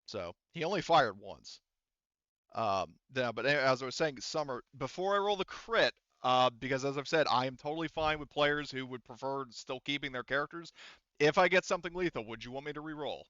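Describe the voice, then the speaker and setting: A man's voice: medium pitch, lively intonation, brisk, pushed and bright, clean tone, no room sound, dull audio. A man talking casually and with some swearing as game master, explaining rules to players at a tabletop game. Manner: casual, profane.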